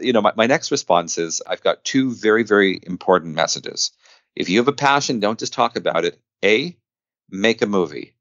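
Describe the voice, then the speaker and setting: A man's voice: lowish voice, a bit bright, no echo, muffled recording. A man chatting casually as an interview guest, talkative and earnest, with enthusiasm for his work. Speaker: guest, independent filmmaker. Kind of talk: casual podcast interview chat.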